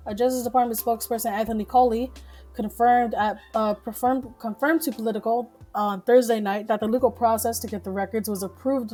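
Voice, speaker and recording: A just-woken woman hosting a live news stream from home, reading articles haltingly, stumbling and swearing as she comments. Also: medium pitch, warm tone. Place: home, relatives talking downstairs.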